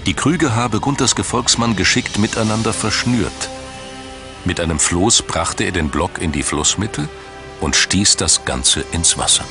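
A man's voice: deep voice, a little slow. A man reading scripted documentary narration as a studio voice-over. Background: music.

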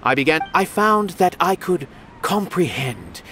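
An actor playing an earnest, eccentric artist-scholar in a fantasy game, speaking in formal, pompous monologue. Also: male voice, sits high, big pitch swings, unhurried, sharp tone, a little hiss or hum, exaggerated voice. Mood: solemn, grandiose. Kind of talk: scripted game dialogue, theatrical monologue. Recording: game music behind voice.

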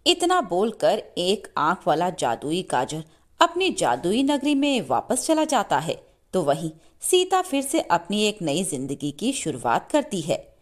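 A woman reading a scripted children's story as an expressive narrator. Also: lowish voice, a little slow.

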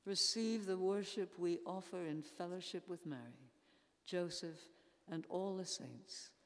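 An older woman leading formal prayers in a solemn, measured way, reading with pauses between phrases. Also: fairly low pitch. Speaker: church intercessor leading prayers. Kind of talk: formal read liturgical prayer. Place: large church interior. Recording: echoey church hall, long reverb.

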